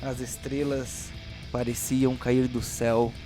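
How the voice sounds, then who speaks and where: A man's voice: mid-range voice, level tone, slow, over loud music. A man narrating and hosting an audio role-playing drama as game master.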